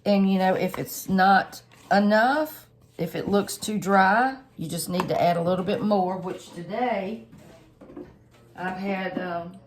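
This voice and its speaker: A woman, a little hoarse and stuffed up, chatting homily through a cooking tutorial for her viewers. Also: mid-range voice, slow pace, slight background noise. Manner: homey, chatty, lots of fillers, addresses viewers directly. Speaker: home cook channel host.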